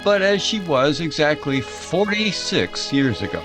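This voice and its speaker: Male actor as small-town reminiscer for vintage radio play: plainspoken, very varied pitch, clear underscore. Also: sing-song, a little slow, over music. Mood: genial, reminiscing. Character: folksy small-town Missouri man narrating his reminiscences. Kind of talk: old-time radio drama narration.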